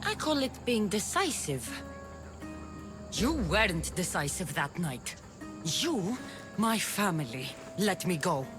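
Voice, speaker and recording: A woman's voice: on the low side, very animated, slow pace. A woman playing a fierce, bitter young warrior in a video game, with a taunting, cutting delivery. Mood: bitter, scornful. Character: fierce young female cult-raised warrior, bitter, menacing, contemptuous. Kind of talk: cinematic video game acting. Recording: music under the voice.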